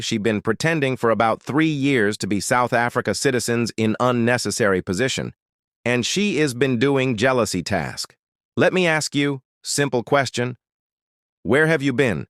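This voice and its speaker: A man's voice: mid-range voice, a bit bright, no room sound, studio quality. A man reading news commentary in a confrontational way, firing off rhetorical questions. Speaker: news presenter.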